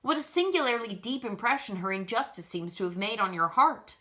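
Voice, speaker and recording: A woman reading a gentle, pious schoolgirl's speech in a soft, earnest, measured way. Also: a little high, expressive. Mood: earnest, serene.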